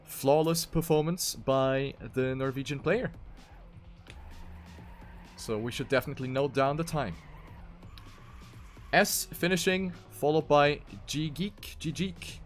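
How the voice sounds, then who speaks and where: A man with a fairly high-pitched voice calls an online racing game live. He speaks as an esports commentator following positions and results. Music plays underneath his voice. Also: slow pace, long pauses.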